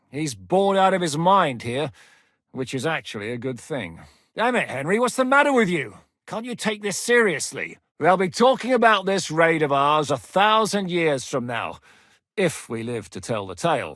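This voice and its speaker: An actor playing a gruff, blunt one-eyed male commander who swears freely and jokes dryly in a video game. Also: on the high side, a bit bright, no echo, clean studio sound. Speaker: military commander.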